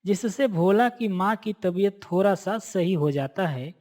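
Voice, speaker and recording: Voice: medium pitch, lively intonation, a little slow, a little mellow. A narrator reading a scripted moral story for a cartoon in a storytelling style.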